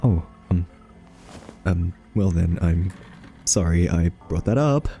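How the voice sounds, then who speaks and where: Man acting as quirky male scientist, ASMR roleplay, soft-spoken, slow and hesitant, dark warm tone, gently patronizing. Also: sits low, animated, soft background music, full character voice. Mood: flustered, polite. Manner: hesitant, halting, politely condescending.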